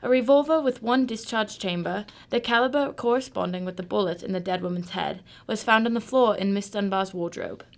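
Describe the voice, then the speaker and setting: A woman plays a cool, analytical female detective in a radio drama. Her medium-pitched voice has plenty of vocal fry. She talks formally and precisely, in measured phrases. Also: natural voice. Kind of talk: amateur radio drama acting.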